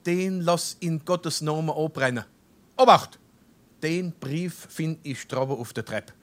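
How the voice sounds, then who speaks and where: Aufbrausender hessischer Hausvater, eher hohe Männerstimme. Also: male voice, a little high, measured pace, fairly bright tone. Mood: scolding. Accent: Darmstadt Hessian. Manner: emphatic, declamatory, scolding. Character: blustering, indignant middle-aged townsman and head of household. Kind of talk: stage dialect comedy acting.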